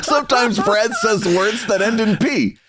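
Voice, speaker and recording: A man co-hosting a podcast in casual chat, with crisp, careful enunciation and popping P sounds. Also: sits high, measured pace, background noise. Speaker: podcast co-host.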